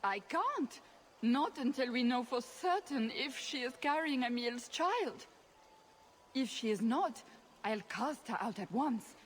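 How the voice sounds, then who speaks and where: A woman's voice: high-pitched, expressive, takes it slowly, a little hiss or hum. A woman playing a haughty, scandalised middle-aged countess in a fantasy game, melodramatic and indignant.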